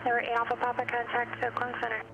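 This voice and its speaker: A woman working as an air traffic controller, giving clearances in clipped, even radio phraseology. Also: a little high, dull audio, music in the background. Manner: brisk, even, procedural. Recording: noisy radio channel.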